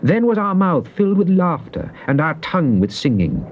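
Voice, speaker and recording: A man giving a dramatized recitation of psalms, solemn and declamatory, with drawn-out emphasis. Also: a little high, very animated. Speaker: scripture reader. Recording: muffled, noisy old cassette tape.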